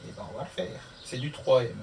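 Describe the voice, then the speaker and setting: A man's voice: medium pitch, lively intonation, fairly quick, darkish tone. A man chatting casually to camera as a vlogger doing a hands-on tutorial, often muttering to himself as he works through the steps. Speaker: motorcycle vlogger. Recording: distant mic, clear background noise.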